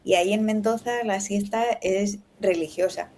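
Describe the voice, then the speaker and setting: A woman from Argentina answering as a podcast guest in a relaxed, soft-spoken way. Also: medium pitch, moderate pace, slight background noise. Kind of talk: casual interview answers.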